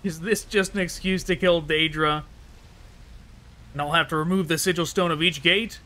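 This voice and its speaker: A man hosts a gaming video with casual, thinking-aloud commentary. His voice is fairly high, bright and clean. He sounds chatty and wry as he plays. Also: fairly even intonation, normal speed.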